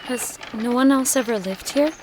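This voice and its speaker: A woman playing a gentle, uneasy traveler in an audio drama, speaking softly. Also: a little high, normal speed, lightly acted. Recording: noisy outdoor ambience behind.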